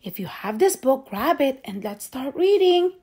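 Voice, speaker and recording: A woman reading a children's storybook aloud in an expressive, child-friendly manner. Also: high-pitched. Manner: slow, simple, animated delivery for children.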